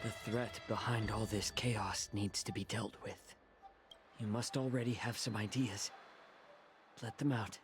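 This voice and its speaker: Gentle, wry game healer: lowish pitch, unhurried, accepting tone. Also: male voice, steady tone, background noise. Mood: knowing. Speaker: game character voice actor. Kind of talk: dramatic game voice acting. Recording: music behind the voice.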